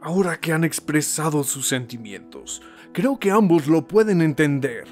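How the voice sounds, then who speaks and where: A man's voice: a little high, lively intonation, a little mellow, soft background music, lightly acted. Man voicing a solemn heroic anime mentor. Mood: earnest, stirring. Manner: solemn, measured, emphatic. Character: earnest heroic older male mentor and teacher. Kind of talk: voice acting demo of anime dub monologue.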